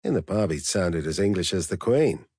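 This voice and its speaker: A man's voice: sits low, animated, normal speed, darkish tone, breathy edge, dry recording, studio quality. A man narrating a first-person novel in a blunt, profane, wry manner. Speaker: audiobook narrator.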